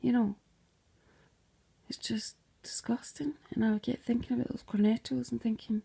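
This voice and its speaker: Scottish woman, gravelly low-key voice, rambling and sweary, drops to whispers. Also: little pitch movement, moderate pace, warm tone. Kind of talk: casual dream retelling to camera.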